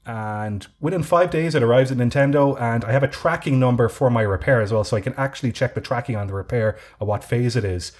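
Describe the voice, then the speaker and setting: A man chatting casually on a podcast, telling a drawn-out story with many restarts. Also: medium pitch, darkish tone. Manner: rambling storyteller with frequent restarts. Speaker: podcast co-host. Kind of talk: casual chat.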